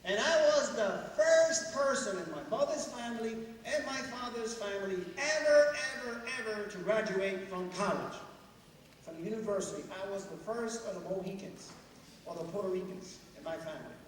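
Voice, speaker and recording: Lively high-voiced man in an echoey hall. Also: recorded from a distance, a little hiss or hum. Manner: animated, asks the crowd many questions, bursts into shouts. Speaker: guest speaker at a school assembly. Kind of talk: motivational speech to students. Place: large school hall. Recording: echoey hall, mic heard from afar.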